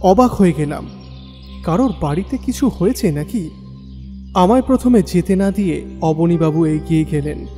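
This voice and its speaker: A man's voice: high-pitched, lively intonation, normal speed, pauses often, warm tone. An actor playing a troubled young man who narrates his own ghostly tale in a dramatic audio story. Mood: suspenseful recounting. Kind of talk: dramatic first-person horror story narration. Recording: long reverb, faint music bed.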